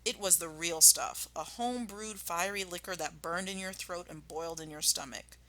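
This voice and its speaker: A woman's voice: lowish voice, moderate pace, pushed and bright. A woman primary school teacher reading a children's story expressively and addressing her pupils. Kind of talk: expressive children's storybook read-aloud and lesson.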